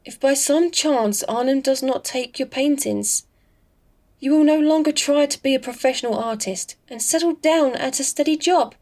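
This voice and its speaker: A woman's voice: high voice, normal speed, a little mellow. A woman playing a devoted, anxious girlfriend with tender pleading in a vintage melodrama style. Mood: caring, firm.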